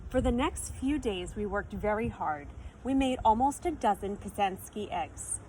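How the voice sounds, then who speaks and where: A woman reads a children's story aloud at a slow pace and a medium pitch. Her delivery is expressive and teacherly, made for young listeners. A faint noise sits in the background. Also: rough recording. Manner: teacherly, explains words and asks listeners questions. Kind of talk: expressive children's picture book reading.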